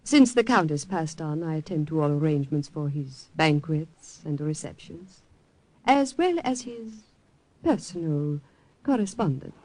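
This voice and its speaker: An actress playing a composed, inquisitive young secretary in a 1940s radio drama. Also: female voice, sits low, expressive, a little slow, darkish tone, rough recording. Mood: probing. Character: young Italian woman secretary, poised and probing.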